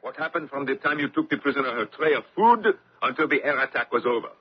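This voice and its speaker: An actor playing a cold, menacing German occupation officer in a radio drama, with a clipped, demanding, icily sarcastic manner. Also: male voice, mid-range voice, lively intonation, quick pace, breathy edge. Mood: stern, pressing. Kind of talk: 1970s radio drama acting. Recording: muffled, faintly hissy old radio recording.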